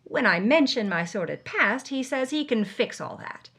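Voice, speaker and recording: A woman's voice: on the high side, expressive, moderate pace, fairly bright tone, breathy edge, full character voice. A woman voicing a world-weary, wry female movie star reading dramatically from her journal, in a noir storytelling style. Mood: incredulous, torn.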